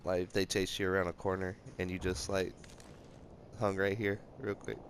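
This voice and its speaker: A man's voice: low voice, flat delivery, normal speed, slight background noise. A young man chatting casually with a teammate during gameplay, loose and joking, swearing when things go wrong.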